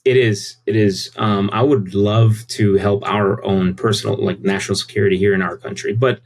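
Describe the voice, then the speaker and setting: A man's voice: on the low side, fairly even intonation, moderate pace, creaky, a little mellow. A man, talking casually and informally as an interview guest, often repeating phrases for emphasis. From United States. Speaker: interview guest, tech company CEO.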